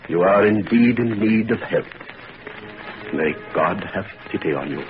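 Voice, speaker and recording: A deep, solemn old friend. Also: male voice, lively intonation, a little slow, music in the background. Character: solemn, sympathetic older family friend. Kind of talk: 1940s radio acting. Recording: dull, hissy old radio recording.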